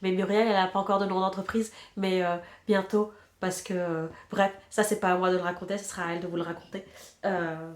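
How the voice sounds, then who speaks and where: A woman's voice: medium pitch, little pitch movement, brisk, echoey room. Chatty woman with a stuffy head cold. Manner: chatty and self-mocking, trails off counting stitches. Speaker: knitting podcast host. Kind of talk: casual chat while knitting.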